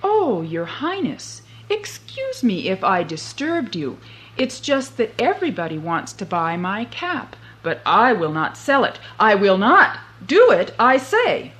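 A woman voicing a clever, cheeky young farm boy who talks brightly and slyly. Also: medium pitch, sing-song, unhurried, poor audio.

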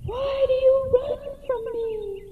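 Lady doing a really high lovesick girl nymph echoing stuff, storybook myth, thin phone-like sound. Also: slow, slight background noise. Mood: yearning. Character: lovestruck young female wood nymph echoing words back.

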